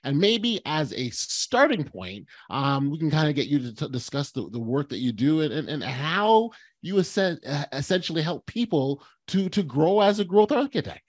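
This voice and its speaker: A man's voice: a little high, very animated, moderate pace, hardly any pauses, no echo, clean studio sound. A man hosting a podcast interview, effusive and gushing, with many restarts and repeated words. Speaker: podcast host. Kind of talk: podcast intro and interview chat.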